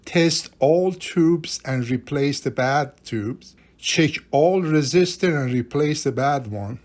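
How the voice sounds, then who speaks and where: A man's voice: on the high side, expressive, slow pace, darkish tone. A man giving a casual step-by-step repair tutorial as a hobbyist, plain-spoken and instructive, with non-native-sounding phrasing and dropped articles. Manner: plain-spoken, instructive, often checking with "right?". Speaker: radio repair hobbyist demonstrating a restoration.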